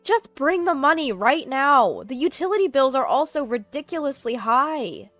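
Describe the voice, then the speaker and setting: Woman doing a shrill, snide bratty sister. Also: animated, moderate pace, a bit bright, dry recording, faint music behind. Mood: panicked. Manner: mocking and bossy, shrill when upset. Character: mean, snide younger sister, young woman.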